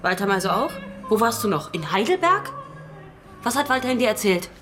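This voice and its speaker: A sharp-tongued policewoman in a radio play. She is dry, ironic and challenging in a medium-pitched voice, with music clearly playing behind her. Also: sing-song, normal speed. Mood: exasperated, challenging. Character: sardonic, sharp female police detective, exasperated. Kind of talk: naturalistic radio drama acting.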